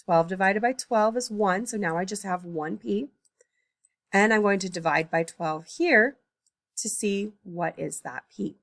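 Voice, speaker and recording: A woman teaching a recorded math lesson, working problems aloud, thinking out loud and correcting her own slips. She is tired, early in the morning. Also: mid-range voice, measured pace, no room sound, clean studio sound.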